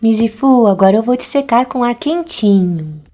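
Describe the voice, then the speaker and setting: High, chirpy young witch girl voiced by a woman, Brazilian accent. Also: lively intonation, normal speed, warm tone, faint music behind, lightly acted. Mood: playful, caring. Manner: chirpy, playful, chanting spells. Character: cheerful young witch girl, kind and confident. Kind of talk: children's cartoon dubbing.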